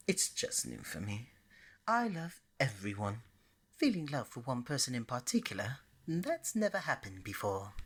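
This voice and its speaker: Casting: woman as a lovestruck deity of romance for ASMR roleplay, very low and breathy, flirty, coaxing, scatterbrained. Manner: playful, coaxing, bubbly and hesitant by turns.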